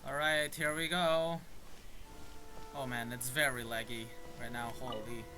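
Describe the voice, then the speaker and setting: A man's voice: mid-range voice, fairly even intonation, unhurried, music in the background. A man giving casual, informal playthrough commentary as a game streamer, reacting as he goes. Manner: informal, muttered reactions with mild swearing.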